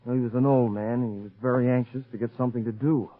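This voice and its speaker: Supporting radio role, male actor: worried businessman at his wits' end, flustered, mid-pitched, tinny narrow-band vintage recording. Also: steady tone, fast, lightly acted. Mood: worried, despairing. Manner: flustered, repeats himself. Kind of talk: 1930s radio drama acting.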